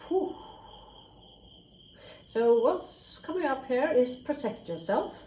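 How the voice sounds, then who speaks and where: A woman's voice: high-pitched, lively intonation, slow pace, dark tone. A woman giving a casual tarot reading, candid and chatty, swearing freely and dropping into whispered asides. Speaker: tarot reader. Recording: roomy echo, faint crickets.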